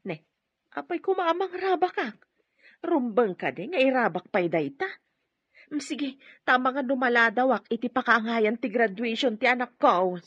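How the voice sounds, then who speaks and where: A woman's voice: on the high side, lively intonation, moderate pace, a bit bright, breathy edge, no room sound. A middle-aged woman with an Ilocano Filipino accent acting a proud, humble mother in an amateur audio drama. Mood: indignant. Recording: dull lo-fi audio with music.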